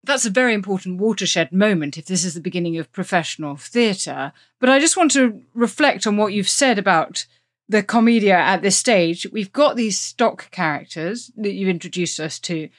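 A woman's voice: mid-range voice, lively intonation, normal speed. A woman with a mid-range voice hosts a history podcast. She is articulate and thoughtful, neatly summing up what she has heard and shaping it into questions. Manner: articulate, summarises then asks. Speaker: host, interviewer.

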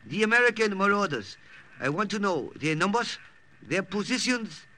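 An actor playing a menacing, mockingly polite Japanese army colonel with formal, clipped English in an old radio drama. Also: male voice, high-pitched, big pitch swings, pushed and bright, exaggerated voice. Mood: threatening. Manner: formal, clipped, deliberate, drops articles. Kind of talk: 1950s radio drama acting. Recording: old radio recording, faint noise.

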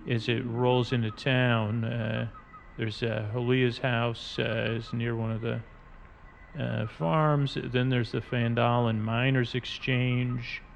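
A man hosting a podcast, talking in a halting, meandering, unscripted way as he describes things in front of him. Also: mid-range voice, creaky, muffled recording, slight background noise. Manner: halting, meandering, spells out odd words. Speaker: podcast host. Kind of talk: rambling, unscripted narration describing game maps and artwork. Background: music bed and sound effects.

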